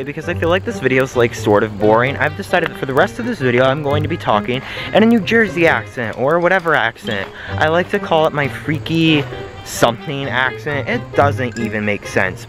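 A man's voice: medium pitch, expressive, brisk, poor audio. A young man vlogging on his phone in the woods, jittery and melodramatic, talking about being spooked, with music behind. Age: young adult. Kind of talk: casual selfie vlog. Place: outdoors in sunny woods. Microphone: phone held at arm's length.

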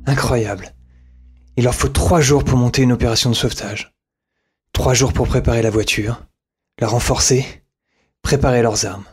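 Man acting a husky, lonely apocalypse survivor. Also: mid-range voice, steady tone, normal speed, long pauses, darkish tone. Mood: bitter, wry. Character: lonely, rambling male survivor of a zombie apocalypse. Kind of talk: audio fiction acting with inner monologue.

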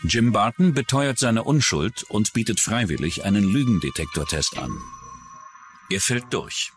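Low-pitched male true-crime narrator, lively intonation. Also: unhurried, soft background music. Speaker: documentary narrator.

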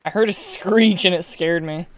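A young man with a high, smooth voice chats his way through a survival game at his desk mic. He is excitable and shouts at pesky creatures. His voice is a bit hoarse, as if he is losing it. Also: normal speed, no echo. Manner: chatty, excitable, yells at creatures. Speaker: gaming YouTuber. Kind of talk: casual gameplay commentary.

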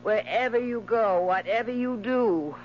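An actress in a 1970s radio drama playing a bitter, mocking dying wife with scornful, drawn-out theatrical phrasing. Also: female voice, a little high, soft background music, natural voice. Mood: menacing, taunting. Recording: muffled 1970s radio recording with hum.

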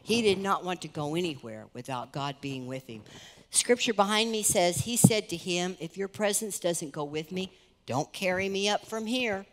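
A middle-aged woman pastor preaching in a large church hall. She speaks earnestly and conversationally, and her pitch swings between lifted emphasis and quiet, low asides. Her voice carries a long reverb off the room around her. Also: normal speed. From United States. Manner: earnest, conversational preaching with lively rises and soft drops. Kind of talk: sermon. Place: large church stage, indoors.

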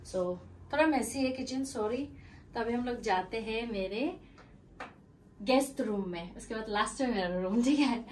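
A woman's voice: fairly high pitch, normal speed, a little mellow. A woman giving a casual, chatty home-tour vlog indoors, mixing in English words and phrases. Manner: informal Hindi mixed with English words and phrases. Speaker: vlogger giving a house tour. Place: indoors in small rooms at home. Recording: distant mic with faint room noise.

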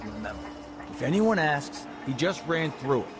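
A man's voice: fairly high pitch, very animated, moderate pace. A man voicing a corrupt, threatening guard in a video game, taunting as he speaks. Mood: menacing. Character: menacing corrupt guard punishing an informer. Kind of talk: game cutscene dialogue. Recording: loud ambient noise.

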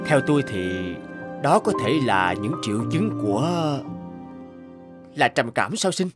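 An actor playing a calm, authoritative middle-aged senior doctor in a cartoon, measured and clinical. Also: male voice, medium pitch, music in the background. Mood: calm, serious. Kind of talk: animated cartoon voice acting.

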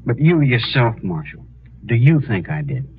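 An actor playing a weary, earnest young condemned prisoner in a 1950s radio western, with plain, halting rustic speech. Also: male voice, medium pitch, big pitch swings, natural voice. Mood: earnest, pleading. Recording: tinny, noisy old radio recording.

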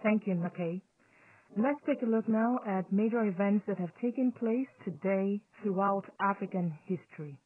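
A woman's voice: mid-range voice, moderate pace. A woman hosting a scripted radio news programme. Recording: tinny phone-like digital shortwave radio.

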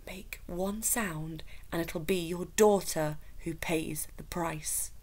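A man's voice: on the high side, steady tone, slow pace. A woman reading a storybook as a brusque, commanding, menacing army major. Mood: hushed threat.

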